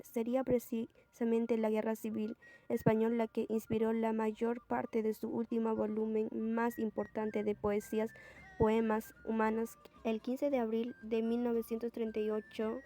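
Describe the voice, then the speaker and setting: A woman presents from a script. Her voice is fairly high but mellow. The intonation stays very flat throughout. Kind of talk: scripted biographical narration.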